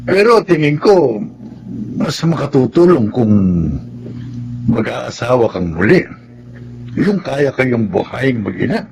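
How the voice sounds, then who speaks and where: A man's voice: mid-range voice, big pitch swings, slow pace, gravelly, long pauses, a little mellow, breathy edge, full character voice. A man playing a kindly, meddling older father in a radio drama. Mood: concerned, advising. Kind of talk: Tagalog radio drama acting.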